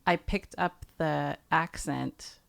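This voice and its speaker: A woman's voice: low-pitched, fairly even intonation, takes it slowly, creaky, a bit bright. A woman guest on a podcast, chatting enthusiastically and laughing often. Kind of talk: casual podcast chat.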